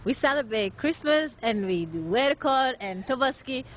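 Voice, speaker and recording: A woman's voice: sits high, expressive, normal speed, a little hiss or hum. A nineteen-year-old woman from Norway, a young fashion designer answering interview questions earnestly with many hesitant filler sounds. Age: late teens.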